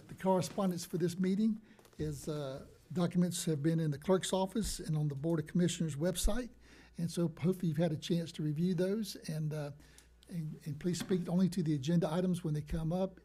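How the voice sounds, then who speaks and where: Male chair, soft and mellow, steady procedural delivery. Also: fairly high pitch, fairly quick. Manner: soft-spoken, formal procedural phrasing. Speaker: board chairman. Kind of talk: chairing a public meeting. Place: county meeting hall. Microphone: desk microphone.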